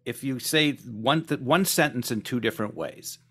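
A man's voice: mid-range voice, normal speed, a bit bright, breathy edge. A man in casual conversation, making his points emphatically and acting out phrases as he goes.

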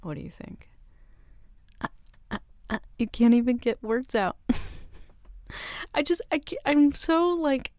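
A woman voicing a flirty, teasing tomboy co-worker in an ASMR roleplay, soft-spoken and giggly. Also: fairly high pitch, no room sound, studio quality.

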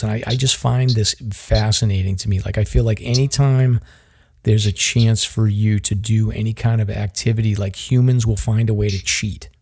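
Gravelly, husky man with a Boston accent. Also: fairly low pitch, darkish tone. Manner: rambling, heavy with fillers like "like" and "um". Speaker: podcast host. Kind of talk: casual chat.

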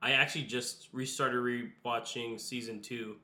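A young man with a bright, forward voice hosts a casual chat, slightly nasal from a cold. He talks loosely and rambles through his points with plenty of fillers. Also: mid-range voice, fairly even intonation, moderate pace. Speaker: podcast host.

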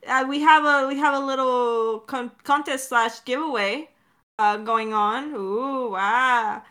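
A woman co-hosting a podcast in a casual Q&A chat, talking in an animated, informal, rambling way. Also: sits high, slow pace. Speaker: podcast co-host and audio drama director.

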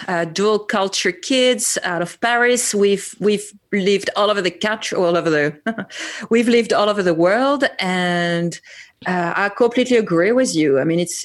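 A woman co-hosting a casual interview, agreeing warmly and asking follow-up questions. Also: medium pitch, normal speed. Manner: friendly, asks follow-up questions.

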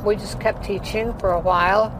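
A woman's voice: mid-range voice, steady tone, normal speed. Older woman telling her life story. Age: 80s. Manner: rambling storytelling with many fillers. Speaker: interviewee, former opera singer. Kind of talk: casual life-story interview. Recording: heavy traffic noise behind.

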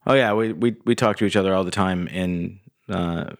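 A man co-hosting a casual podcast, slightly nasal, explaining in a measured, hesitant way. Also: deep voice, no room sound, studio quality. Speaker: podcast co-host.

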